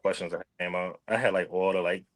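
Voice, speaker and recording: A man's voice: low voice, steady tone, brisk, no room sound. A middle-aged American man from Detroit and New York chatting casually in slang about old times. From Detroit and New York, United States. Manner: slangy, conversational. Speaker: livestream panelist. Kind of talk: casual nostalgic chat.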